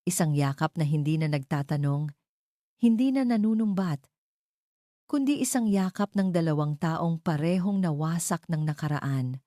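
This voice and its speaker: A woman's voice: low-pitched, expressive, moderate pace, warm tone, no room sound, studio quality. A woman narrating a scripted romantic drama in a storytelling style.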